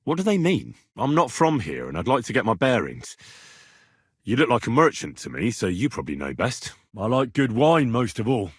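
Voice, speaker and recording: A man's voice: medium pitch, slightly rough, no echo, studio quality. A man acting an earnest, plain-spoken young medieval man in a lord's service for a video game, with a colloquial, inquisitive manner. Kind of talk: naturalistic game dialogue acting.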